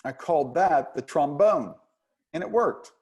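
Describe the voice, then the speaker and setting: A man's voice: fairly high pitch, animated, unhurried, darkish tone. A man reading a play as a charming, patient, flirtatious dance teacher who teases and encourages his pupil. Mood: chatty, playful. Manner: teasing, encouraging. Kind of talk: play reading, romantic comedy.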